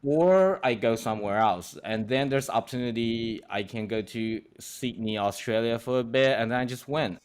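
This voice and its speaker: A young man from China, a guest artist chatting casually in a lively, halting English that is not his first language, laughing often. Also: mid-range voice, clear, resonant tone. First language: Chinese. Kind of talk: casual interview chat.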